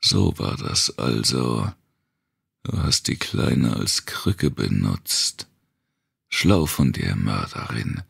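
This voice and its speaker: Guy doing a creepy faceless tormentor for an audiobook, super low n husky, slow cold taunting. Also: lively intonation, fairly bright tone, dry recording, clean studio sound, exaggerated voice. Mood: mocking, menacing. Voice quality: gravelly, husky. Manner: slow, mocking, deliberate. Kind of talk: audiobook character acting.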